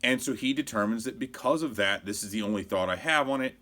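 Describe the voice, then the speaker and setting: A man in his forties with an American accent and a slightly low voice talks casually, like a sports blogger hosting his own show. He rambles conversationally through his opinions and sounds exasperated. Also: animated, quick pace, a bit bright. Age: 40s.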